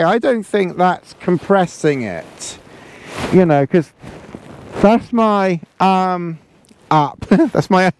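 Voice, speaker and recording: A man's voice: high-pitched, a little mellow, a little hiss or hum. A man, casually reviewing camping gear while lying in a tent, rambling and repeating himself. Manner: chatty, rambling, repeats words, chuckles. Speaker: outdoor gear vlogger. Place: inside a small tent, lying in a sleeping bag.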